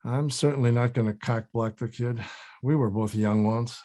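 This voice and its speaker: A man's voice: mid-range voice, fairly even intonation, normal speed, mellow, natural voice. An actor playing a grumpy, defensive older man in a comedy table read, speaking in a blunt, grumbling, sweary manner. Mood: wry, grumbling.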